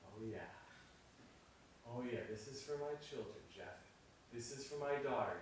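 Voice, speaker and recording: A man's voice: on the high side, sing-song, moderate pace, noisy background. An actor playing an obsessive, stubborn male film director, speaking in an emphatic, self-important, theatrical way. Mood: rapt, grandiose. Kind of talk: theatrical dramatic dialogue. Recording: very echoey room, distant microphone.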